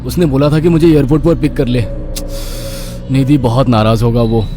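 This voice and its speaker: A man's voice: medium pitch, fairly even intonation, moderate pace, warm tone, close to an everyday voice. A man voicing a cheerful young father in a cartoon story, breezy but easily panicked. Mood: alarmed. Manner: breezy, then stammering. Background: background music.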